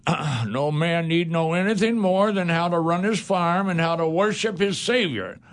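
An actor playing a stern, scornful old farmer who scolds and blusters in a community radio drama. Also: male voice, a little high, normal speed, natural voice.

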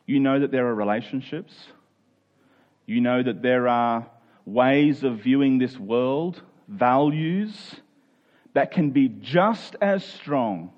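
A man's voice: medium pitch, dull audio. A man preaching a sermon in plain conversational words, earnest, posing rhetorical questions and restating phrases for emphasis.

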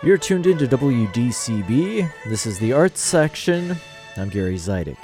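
A man's voice: mid-range voice, big pitch swings, measured pace, loud music behind the voice. An American man hosting a radio arts program, reading scripted news and event details. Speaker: radio host.

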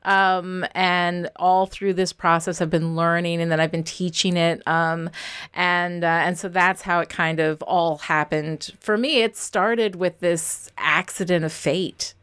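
A woman's voice: on the low side, fairly even intonation, unhurried, fairly bright tone, clean tone. A woman guest, a novelist and writing teacher, talking candidly and informally in an interview, with a self-deprecating, storytelling way of speaking.

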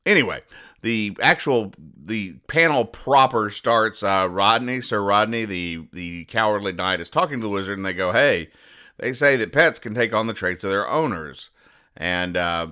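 Deadpan male podcast host, fairly low pitch, crisp delivery, explains things very literally. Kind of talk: reading and explaining comic strips.

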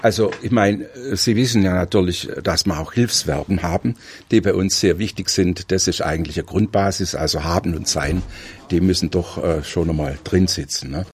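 A man's voice: low voice, moderate pace, a little hiss or hum. A man who volunteers as a German teacher, explaining grammar and slipping in fillers.

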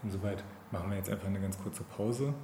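A man interviewing someone casually, halting and often rephrasing himself. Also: low voice, fairly even intonation. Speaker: podcast interviewer. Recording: echoey, noisy room with ambient sound.

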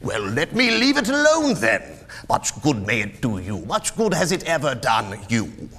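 A man's voice: medium pitch, huge pitch swings, unhurried, fairly bright tone, slightly rough, voice pushed up, full character voice. A man voicing a bitter, cold-hearted old miser in a storybook reading, grating and curtly scornful. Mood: irritated. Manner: curt, scornful grumbling. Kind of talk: storybook character voice in dramatic reading.

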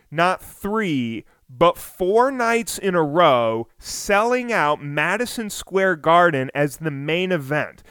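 High-voiced, smooth-toned male podcast host. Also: dry recording, clean studio sound. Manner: energetic, rising to near shouts when excited; self-deprecating asides. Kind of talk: casual storytelling podcast.

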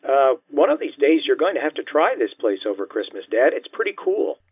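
A man's voice: mid-range voice, sing-song, brisk, husky, no room sound, voice pushed up. A man voicing a casual, easygoing teenage son with an offhand manner in audiobook dialogue, through a telephone filter. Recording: thin, band-limited phone-line sound.

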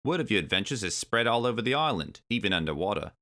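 A man's voice: lowish voice, very animated, fast, fairly bright tone. A man voicing a friendly, confident swordfish hero with a hearty, commanding manner, in a storybook. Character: heroic friendly male swordfish, bold, confident helper.

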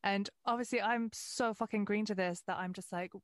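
A woman's voice: mid-range voice, fairly even intonation, a little fast, no room sound, studio quality. A woman new to voiceover chatting casually, enthusiastic and rambling. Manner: enthusiastic, rambling with fillers and restarts. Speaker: voiceover newcomer. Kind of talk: casual podcast chat.

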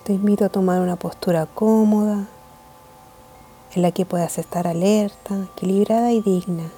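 A woman leading a guided meditation in a calm, gentle, measured way of speaking. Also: mid-range voice, faint music behind.

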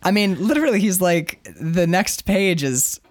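A man's voice: sits high, animated, moderate pace, no echo. A man co-hosting a comic book discussion in an emphatic, opinionated and sometimes profane casual style.

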